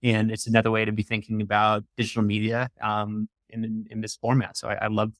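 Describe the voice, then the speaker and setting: A man's voice: on the low side, monotone, a little fast, a bit bright, no room sound. A man hosting an interview in an upbeat, effusive and encouraging conversational way. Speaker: podcast host.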